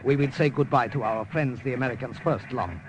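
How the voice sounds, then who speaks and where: A gracious, formal Siamese army major, acted by a man. He speaks courteously in a medium-pitched voice, in a vintage radio drama with clear background noise. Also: brisk, natural voice. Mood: composed. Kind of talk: 1950s radio drama acting. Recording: noisy old radio recording.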